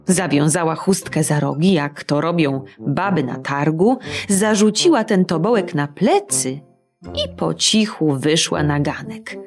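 A woman reading a children's story aloud in an expressive storytelling manner. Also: low voice, measured pace, soft background music.

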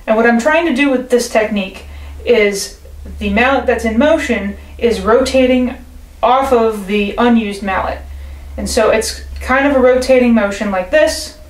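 A young woman teaches with a calm, patient delivery, her voice sitting a little high. She's filmed in her living room by a camera a few feet away, and the room adds a noticeable echo. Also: moderate pace. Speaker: percussion teacher. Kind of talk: instructional demonstration.

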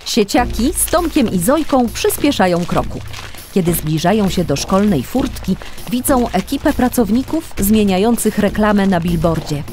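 A woman's voice: medium pitch, very animated, moderate pace, darkish tone, soft background music. A woman narrating a children's radio play in a scripted storytelling style.

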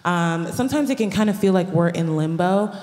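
Young woman from ghana in the audience, chatty question, lowish voice, echoey hall. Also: lively intonation, a little fast, darkish tone. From born in Ghana. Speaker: audience member asking a question. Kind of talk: casual, personal question. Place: audience of a large auditorium.

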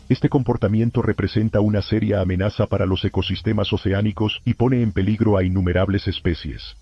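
Solemn male emergency announcer played by a man, low and raspy, formal horror-broadcast warnings, lo-fi sound. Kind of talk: scripted narration, analog horror warning broadcast.

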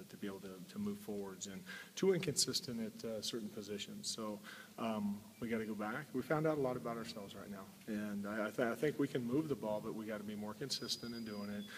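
A man in his forties, a college football head coach, giving a halting postgame interview indoors and repeating his words often. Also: fairly low pitch. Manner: halting, repeats words, many filler sounds. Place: indoor room before a sponsor backdrop. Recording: long room reverb with faint hiss.

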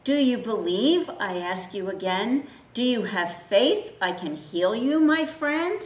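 A woman voicing a gentle, wise bearded man who speaks softly, calmly and tenderly. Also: a little high, slow pace. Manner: soft, calm and tender. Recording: roomy, distant mic.

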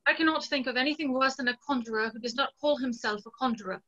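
Woman acting a reproachful young gentlewoman, high and bright. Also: fast. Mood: hurt, reproachful. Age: 20s. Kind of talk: stage play read aloud over video call. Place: small plain room at home, on a video call. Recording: dull, lo-fi video call audio.

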